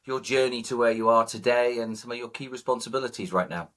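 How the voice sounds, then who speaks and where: A man hosting a podcast, asking polite, measured interview questions. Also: medium pitch, a bit bright. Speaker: podcast host.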